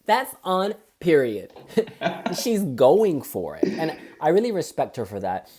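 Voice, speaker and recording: A woman with a strikingly deep voice co-hosts a relaxed review chat. Her talk is chatty and opinionated, and her pitch rises and falls a great deal. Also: slow pace, husky. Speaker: co-host.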